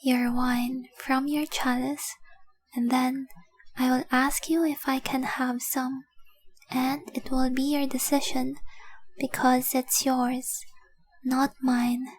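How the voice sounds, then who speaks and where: A high, slow voice of a woman playing a young dragon girl in a romantic audio roleplay. She is devoted and tenderly possessive, speaking with intimate, earnest care and keeping her melody very even. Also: rough recording. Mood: tender, inviting. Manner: earnest, intimate, deliberate, with breathy whispered phrases.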